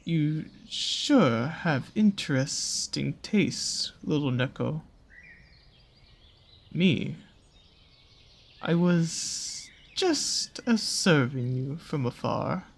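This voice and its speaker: An actor performs a seductive serpent-creature from a fantasy roleplay audio. The delivery is extremely slow, with drawn-out pauses and lively, swooping intonation. The predator coaxes and teases, showing a soft spot for small, pretty prey. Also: mid-range voice, creaky, exaggerated voice. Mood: teasing curiosity. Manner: slow, teasing, coaxing, with pet names and drawn-out pauses. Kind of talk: scripted roleplay audio drama addressed to the listener.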